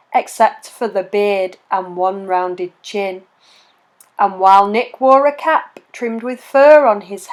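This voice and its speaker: A woman reading a rhyming children's picture book aloud in an expressive, measured storytelling manner. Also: on the high side. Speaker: children's story reader and channel host.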